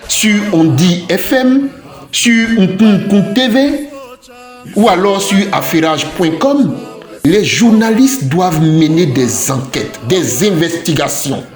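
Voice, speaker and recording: Slow, echoey man, high-pitched. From Côte d'Ivoire. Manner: emphatic, declarative, repeats phrases in lists. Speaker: civic commentator. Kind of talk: scripted opinion commentary. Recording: roomy echoing voice track with music. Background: music.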